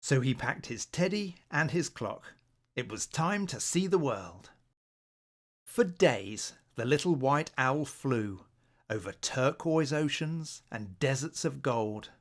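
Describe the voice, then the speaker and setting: Guy reading a kids bedtime story, really slow and gentle, careful narrator voice. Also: medium pitch. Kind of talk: bedtime children's story read aloud.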